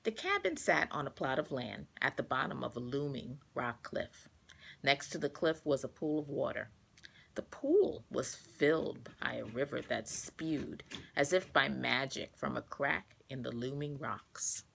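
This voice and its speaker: A woman reading a children's storybook aloud, expressive and carefully paced for young listeners. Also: a bass voice, measured pace, vocal fry, slight background noise.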